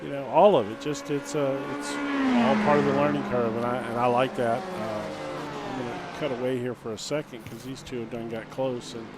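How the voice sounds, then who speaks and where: A man's voice: mid-range voice, expressive, normal speed. An American man co-commentating a sim race, laid-back and musing with lots of fillers. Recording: noisy background.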